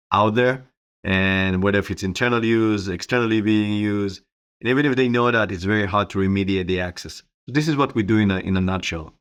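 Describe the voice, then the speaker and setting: A middle-aged man with an Israeli accent giving informal, conversational answers as an interview guest. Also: sits low. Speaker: interview guest, company co-founder.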